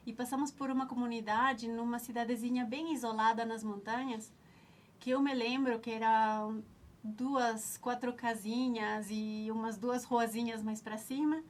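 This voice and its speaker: Ecuadorian woman recalling her path, unhurried. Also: fairly high pitch, fairly even intonation. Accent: Ecuadorian Spanish. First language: Spanish. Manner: reflective, searching for words with frequent restarts. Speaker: nutritionist, alumna guest. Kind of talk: reminiscing interview answers.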